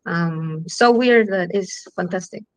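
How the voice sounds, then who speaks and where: A woman filmmaker from Chile with a Chilean Spanish accent, speaking English in a halting way full of ums and restarts. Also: lowish voice, no echo, rough recording. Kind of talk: festival Q&A talk.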